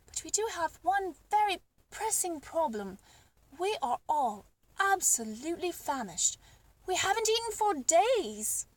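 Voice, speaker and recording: A woman's voice: extremely high pitch, sing-song, a little slow, exaggerated voice. A woman voicing a nervous, polite female giraffe from a children's storybook, with a hesitant, well-mannered delivery. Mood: nervous, pleading. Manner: hesitant, polite, breathy murmurs and gasps.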